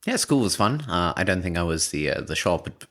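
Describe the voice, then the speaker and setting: A man's voice: low-pitched, big pitch swings, normal speed, a bit bright. A man from South Africa whose first language is Afrikaans, co-hosting a podcast in casual, rambling chat and laughing often. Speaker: podcast co-host.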